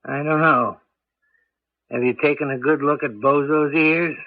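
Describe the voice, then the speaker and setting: Excitable old granddad, high voice, kinda husky, tinny old radio drama sound. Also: male voice, unhurried, no echo. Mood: cautious. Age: older. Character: excitable, doting old grandfather and amateur inventor's partner. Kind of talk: 1980s radio drama acting. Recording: tinny, band-limited old radio broadcast.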